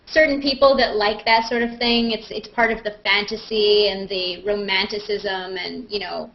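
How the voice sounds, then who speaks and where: A woman's voice: medium pitch, steady tone, moderate pace, slight background noise. A woman chatting casually and playfully as she hosts a live question-and-answer video call. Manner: playful, joking, self-mocking. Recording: muffled, lo-fi internet video call.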